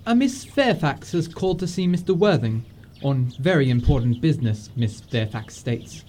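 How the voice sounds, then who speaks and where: A woman playing a formal household servant in a period comedy radio play, announcing visitors in a stiff, deliberate manner. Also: very deep voice, lively intonation, mellow, a little hiss or hum. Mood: solemn.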